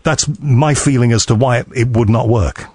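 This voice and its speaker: A man's voice: mid-range voice, moderate pace, darkish tone, no room sound. A man hosting a radio talk show, chatting casually and rambling with frequent restarts and self-deprecating asides. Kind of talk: casual on-air chat. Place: radio studio.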